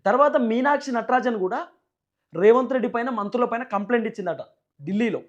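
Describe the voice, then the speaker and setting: A man's voice: extremely high pitch, lively intonation, fast, lo-fi sound. A man with a Telangana Telugu accent giving emphatic, repetitive political news commentary as a channel host, often in rhetorical questions.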